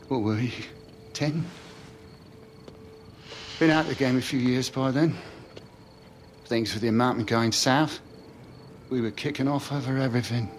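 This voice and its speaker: A man playing a middle-aged estranged British father, pleading and regretful, speaking earnestly and haltingly in a dramatic game cutscene. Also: medium pitch, slight background noise, lightly acted.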